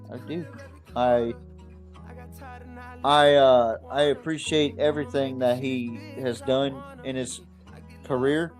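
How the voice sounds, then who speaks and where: A man hosting a sports podcast in a casual, blunt way, swearing often as he talks. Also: mid-range voice. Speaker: podcast host.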